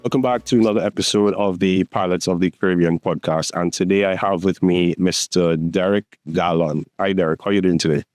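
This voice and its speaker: A man's voice: low-pitched, steady tone, brisk, hardly any pauses, darkish tone, dry recording, faint music behind. A man hosting a podcast, introducing the show and asking friendly, upbeat questions. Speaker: podcast host.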